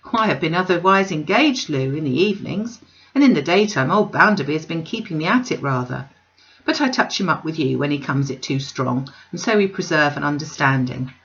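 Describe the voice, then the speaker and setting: Storybook role: selfish young gentleman, played by a woman, slangy, cajoling, breezy, on a slightly dull recording. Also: low voice, expressive, brisk. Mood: breezy, probing. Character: Tom, selfish, coaxing young gentleman, wheedling his sister.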